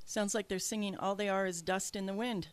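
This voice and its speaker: A woman's voice: mid-range voice, steady tone, brisk, very noisy. A woman with a Canadian accent hosting a radio talk show as a psychic medium, talking casually with lots of fillers and restarts into a studio mic. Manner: chatty, many fillers and restarts.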